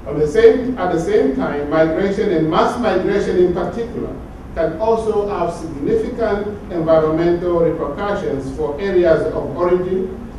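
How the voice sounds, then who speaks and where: A man from Tanzania giving an academic conference talk, measured and sometimes repeating words as he searches for phrasing. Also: sits high, mellow, a little hiss or hum. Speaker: conference speaker. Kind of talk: academic lecture. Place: large conference hall. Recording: very echoey hall from far off.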